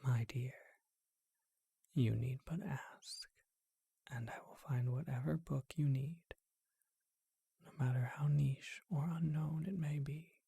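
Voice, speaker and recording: A performer voicing a charming, courtly male vampire who keeps a bookshop, whispering slowly and deliberately in an ASMR roleplay. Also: medium pitch, fairly even intonation, gravelly, dark tone, husky, no echo, clean studio sound, heavily acted. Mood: courtly, inviting.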